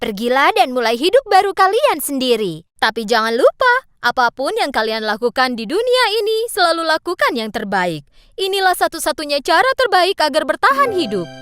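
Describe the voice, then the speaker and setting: A woman's voice: high-pitched, very animated, fairly quick, fairly bright tone, no echo, studio quality, pitched above the performer's own voice. A woman voicing a loving mother pig, tender and instructive, in a children's storybook. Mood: tender, encouraging.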